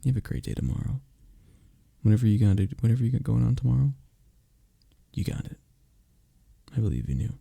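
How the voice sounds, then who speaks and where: A man with a husky, gravelly voice chatting casually to his listeners in an apologetic, encouraging, rambling way. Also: medium pitch, sing-song, quick pace, pauses often, mellow, no room sound, clean studio sound. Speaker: audio roleplay creator.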